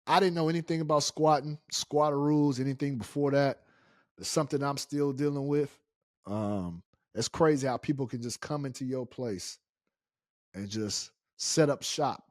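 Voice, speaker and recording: Higher-voiced American man telling a story. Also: no room sound, clean studio sound. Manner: animated, indignant, emphatic. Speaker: story-time show host. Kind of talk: casual personal storytelling.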